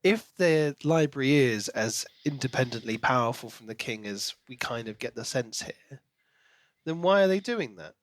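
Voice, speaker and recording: A man's voice: mid-range voice, animated, normal speed, dry recording. A man co-hosting a podcast, casually discussing a novel and musing aloud in questions. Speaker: book podcast co-host.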